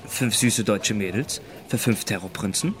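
An actor playing a cocky, evasive young street informant in a radio drama, talking in mocking slang. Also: male voice, lowish voice, normal speed, fairly bright tone, soft background music, lightly acted. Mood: uneasy, mocking. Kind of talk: naturalistic German radio drama acting.

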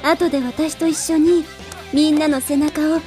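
A woman's voice: sits high, fairly even intonation, normal speed, dark tone, faint music behind, natural voice. A woman playing a cheerful, teasing, easygoing girl in an anime audio drama. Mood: dreamy, playful.

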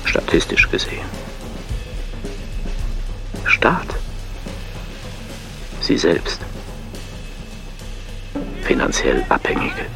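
An actor playing a brooding speaker who recites fragments in a halting way, word by word, sometimes dropping toward a whisper. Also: male voice, rumbling low, sing-song, gravelly, exaggerated voice. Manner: halting, isolated words, partly whispered. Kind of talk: experimental spoken-word performance. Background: loud music.

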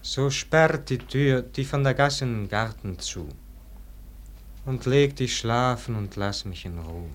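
An actor playing a weary, disillusioned nobleman in a classic German radio verse drama, declaiming solemnly and theatrically, at times falling to hushed tones. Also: medium pitch, takes it slowly, lightly acted. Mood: tired, curt. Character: weary, disillusioned nobleman brooding over an unlived life.

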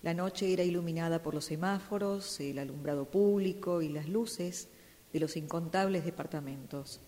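A woman's voice: fairly low pitch, normal speed, mellow. A woman reading a scripted story as a radio drama narration exercise. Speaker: radio drama workshop participant. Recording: clear background noise.

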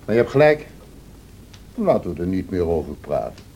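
A man's voice: low-pitched, sing-song, normal speed, mellow, slightly rough, exaggerated voice. An actor playing a wild, cruel, scornful man in a radio drama, with a mocking, menacing drawl. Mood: sly, calculating. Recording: noisy old radio drama recording.

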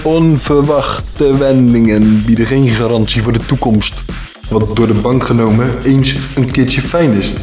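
A man hosts with scripted, atmospheric narration in measured, solemn phrases. His medium-pitched voice is washed in echo. Music runs clearly behind him. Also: a little mellow. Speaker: podcast host and narrator. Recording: echoey voice over music.

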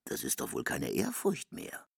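An actor in a literary radio drama playing a stern, argumentative man who reproves in measured rhetorical questions. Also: very deep voice, wildly swooping pitch, vocal fry, husky, no room sound, studio quality, full character voice. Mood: reproving.

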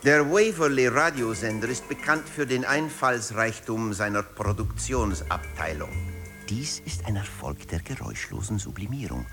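A sly, satirical management theorist in a radio play. His voice sits very low and swings animatedly as he lectures, placing each phrase with deliberate emphasis. Music plays faintly behind him. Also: animated. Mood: wry, instructive. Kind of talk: scripted audio drama lecture.

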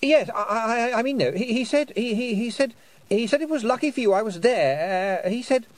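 Guy doing a flustered boy in a storybook, high voice, stammers and blurts, all apologetic. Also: animated, normal speed. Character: nervous, awkward, flustered well-off boy, apologetic.

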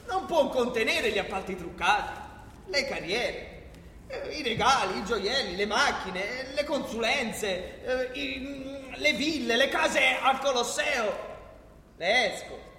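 Casting: male actor as satirical storyteller for radio drama, declamatory, indignant, highly animated delivery, reverberant room with faint noise. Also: takes it slowly, heavily acted. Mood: indignant, mocking. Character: male satirical narrator, indignant at corrupt politics. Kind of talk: theatrical storytelling monologue for radio theatre. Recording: echoey hall, faint noise.

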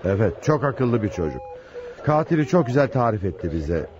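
An actor playing an authoritative police chief inspector with a measured, commanding manner in a radio drama. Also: male voice, medium pitch, sing-song, lightly acted. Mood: patient. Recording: muffled radio drama, crowd behind.

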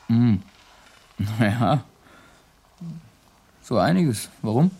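Cocky drifter with a mellow voice. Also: male voice, medium pitch, expressive, very slow, breathy edge, exaggerated voice. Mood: offhand, cheeky. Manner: casual, offhand, cheeky. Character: cocky, penniless young drifter and mechanic. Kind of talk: naturalistic radio drama dialogue. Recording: faint kitchen sizzle and ambience.

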